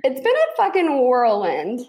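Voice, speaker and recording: A woman's voice: high voice, wildly swooping pitch, unhurried, a little mellow. A woman in her twenties talking candidly in casual chat, with many "like" fillers. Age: 20s.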